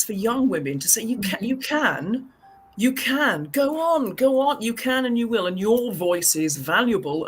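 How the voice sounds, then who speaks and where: A woman's voice: on the low side, breathy edge. A woman with an English accent talking on a video call panel, earnest and often restarting her sentences. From England. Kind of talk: casual panel chat.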